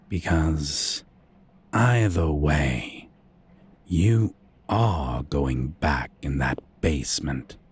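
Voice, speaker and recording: Man playing a very deep, slow-drawling sadistic vampire. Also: darkish tone, slightly rough, exaggerated voice. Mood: smug, taunting. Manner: drawling, condescending, menacing, archly formal. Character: sadistic, haughty male vampire captor, mockingly theatrical. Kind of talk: ASMR roleplay acting.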